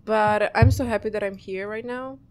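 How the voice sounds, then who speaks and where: A woman's voice: mid-range voice, fairly even intonation, normal speed, a little mellow. Ukrainian-accented teen girl, simple phrasing. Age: teens. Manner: simple, non-native phrasing. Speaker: exchange student guest. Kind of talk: casual interview.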